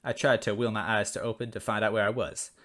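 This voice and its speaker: A man's voice: lowish voice, flat delivery, fast talker, fairly bright tone. A man narrating a comic first-person story as a read-aloud. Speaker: story reader.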